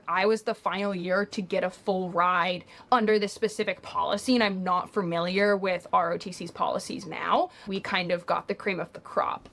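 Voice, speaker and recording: A woman's voice: medium pitch, fairly bright tone. A woman in her twenties from Kentucky, a university student explaining at length in a casual interview, with frequent "like" and "um". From United States, Kentucky. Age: 20s.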